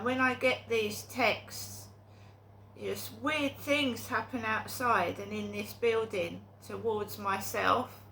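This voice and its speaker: A woman's voice: medium pitch, creaky, pushed and bright, a little hiss or hum. A middle-aged woman with a cold and sore throat, talking in a rambling, hesitant monologue full of ums and restarts. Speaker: vlogger.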